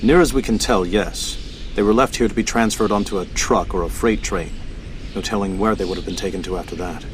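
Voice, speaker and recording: A man's voice: on the low side, a little fast, slight background noise, close to an everyday voice. An actor playing a matter-of-fact male mutant inventor in a video game, explaining things in practical terms. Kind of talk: video game cutscene acting.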